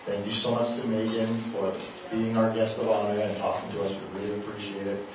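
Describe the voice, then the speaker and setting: A man's voice: on the low side, completely flat delivery, fairly quick, music in the background. Man hosting, very echoey and distant. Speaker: event host giving closing thanks. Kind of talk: informal closing remarks. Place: live event venue. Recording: distant, very echoey event recording, faint noise.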